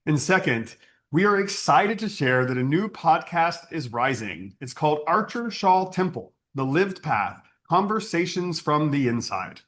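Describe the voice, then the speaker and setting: A man with a fairly high voice and very animated intonation leads a spiritual service. His delivery is earnest and solemn, with measured, carefully phrased sentences. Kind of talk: scripted online spiritual service address.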